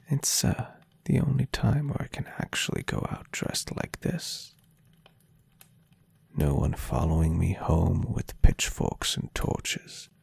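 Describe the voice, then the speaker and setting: A man voicing a gentle, adoring, centuries-old vampire boyfriend in a soft-spoken, tender and unhurried ASMR roleplay. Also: deep voice, full character voice. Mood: wistful, lonely. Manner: tender, breathy, unhurried, softly teasing.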